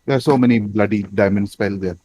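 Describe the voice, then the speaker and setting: A man's voice: on the low side, quick pace, darkish tone, breathy edge. A man acting as game master in casual tabletop talk, informal and given to casual swearing.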